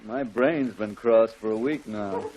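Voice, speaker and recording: Gravelly, dry-humored father. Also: mid-range voice, sing-song, measured pace, a little mellow. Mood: dryly impatient. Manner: dry, weary. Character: dry, long-suffering middle-aged father. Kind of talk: 1940s radio comedy acting. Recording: faintly noisy 1940s radio recording.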